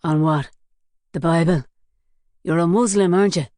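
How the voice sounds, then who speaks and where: A woman's voice: fairly low pitch, normal speed, mellow, no room sound, studio quality, lightly acted. Woman voicing a sneering gunman, menacing and cocky. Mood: mocking. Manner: mocking, sneering. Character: cocky menacing male gang leader.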